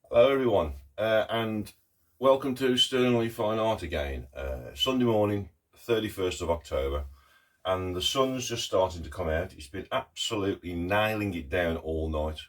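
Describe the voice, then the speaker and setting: A middle-aged man with a croaky voice from a cough, talking haltingly and earnestly in a casual video diary. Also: sits low, expressive. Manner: halting, many ums and pauses, earnest. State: hoarse with a cough. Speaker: artist vlogger. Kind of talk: casual talk to camera.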